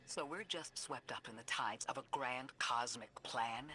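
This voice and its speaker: A voice actor playing a resolute mentor and leader who speaks firmly. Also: male voice, mid-range voice, a little slow, pushed and bright, a little hiss or hum.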